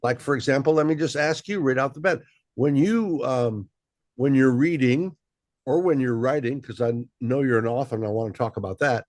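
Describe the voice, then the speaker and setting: Guy hosting a chill interview show, mid-pitched, dry close sound, lots of ums. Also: normal speed, dry recording. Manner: relaxed, many fillers and repeats. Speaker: host. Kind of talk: casual interview.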